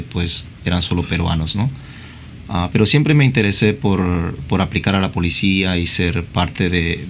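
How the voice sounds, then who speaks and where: A man, a police officer. He speaks in a measured way, leaning on fillers and tag questions. Faint hiss behind him. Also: low-pitched. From Peru. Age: 30s.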